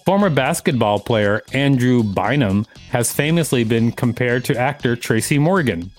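A man's voice: mid-range voice, expressive, moderate pace, soft background music. A man co-hosting a podcast, reading an article aloud and commenting casually. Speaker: podcast co-host.

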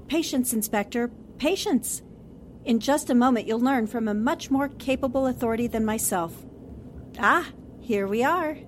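Actress as high-voiced confident girl reporter. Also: normal speed, slight background noise, natural voice. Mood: playfully confident. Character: confident, clever female newspaper reporter. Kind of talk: 1940s-style radio drama acting.

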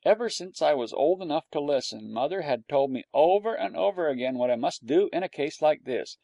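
A man's voice: a little high, expressive, a little fast, creaky, dark tone, dry recording, highs cut off. A man reading a storybook aloud in a wry storytelling manner. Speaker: audiobook narrator.

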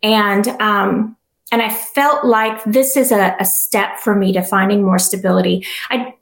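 A middle-aged woman with a soft voice, telling her personal story as an interview guest, earnest and reflective with many restarts. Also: medium pitch, fairly even intonation, a little slow, creaky, reverberant.

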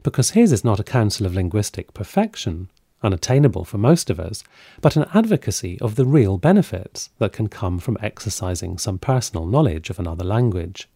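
A man, a podcast host, reading a measured scripted introduction. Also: lowish voice, dark tone, husky, dry recording, clean studio sound.

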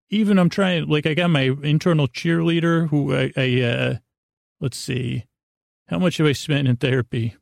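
A man hosting a sleep podcast with a rambling, halting improvised monologue, often stammering, interrupting himself and arguing with himself in a self-deprecating way. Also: on the high side, gravelly, a little mellow, no echo, clean studio sound. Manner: self-deprecating, acting out inner dialogue. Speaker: podcast host.

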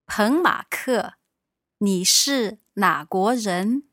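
A woman from China, a native Mandarin speaker, teaching on a podcast with deliberate model sentences. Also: mid-range voice, animated, fairly bright tone, no room sound, studio quality. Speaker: language teacher. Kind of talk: podcast language lesson.

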